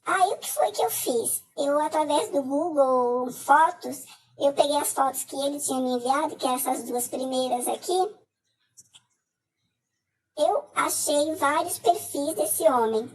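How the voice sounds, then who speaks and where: High-voiced Brazilian woman, halting speech. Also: distant mic, poor audio. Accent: Brazilian Portuguese. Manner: halting, many filler hesitations. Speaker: scam victim giving testimony. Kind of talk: personal testimony to camera.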